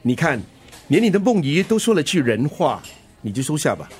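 A man's voice: fairly high pitch, very animated, fairly quick, darkish tone, slight background noise. An actor playing a breezy, caring middle-aged father and musician in a radio drama, flippant, with a Singaporean accent. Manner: flippant, bantering. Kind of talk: Mandarin radio drama acting.

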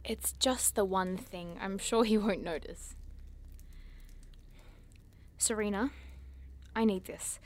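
A woman's voice: medium pitch, slow pace, long pauses, close to an everyday voice. A woman playing a curious, earnest and stubborn young scientist in an audio drama, polite but hesitant. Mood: pleading, defensive.

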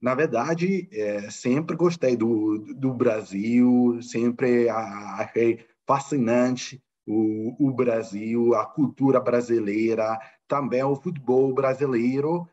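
A man's voice: mid-range voice, takes it slowly, hardly any pauses, darkish tone, lo-fi sound. A middle-aged man with an Italian accent, whose first language is Italian, answering interview questions in Portuguese with many fillers and repeated words. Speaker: guest, football club finance director.

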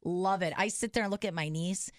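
A woman's voice: on the low side, no room sound, studio quality. A woman hosting a podcast interview, upbeat and encouraging in casual chat. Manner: upbeat, encouraging, quick to agree. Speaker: podcast host.

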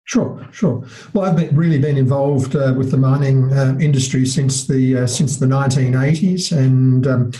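An older man with an Australian accent, a mining company chief executive answering interview questions in a measured way with many hesitations and fillers. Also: mid-range voice, dark tone, rough recording.

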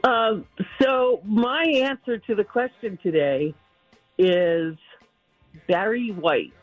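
A man calling in to a talk radio show, talking slowly and amused. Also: sits high, narrow, tinny audio, faint music behind. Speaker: caller. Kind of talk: call-in chat.

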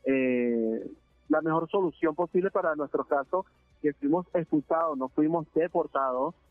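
A man from Venezuela, giving a halting, earnest testimony in a phone interview, full of filler sounds and restarts. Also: a little high, dry recording, soft background music. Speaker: interviewee, deported migrant. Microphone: phone line. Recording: narrowband phone line, dry.

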